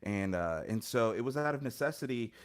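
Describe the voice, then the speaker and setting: A man's voice: mid-range voice, lively intonation, a little fast. Earnest man on a video call. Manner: earnest, reflective, many fillers and restarts. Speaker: guest musician. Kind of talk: conversational interview answers. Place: home music studio, indoors. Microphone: studio mic on stand, headphones, video call.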